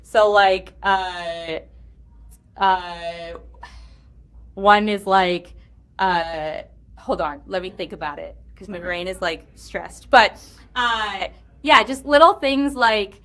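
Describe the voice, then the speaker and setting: A woman's voice: medium pitch, slow pace, fairly bright tone. A woman speaking casually as an interview guest, informal and thinking aloud as she goes. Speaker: game writer, interview guest. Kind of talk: casual chat.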